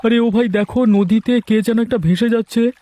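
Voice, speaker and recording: A man's voice: extremely high pitch, steady tone, moderate pace, warm tone. A man voicing a helpful, exclaiming cartoon fisherman. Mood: excited concern. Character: animated, helpful river fisherman. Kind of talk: cartoon dubbing.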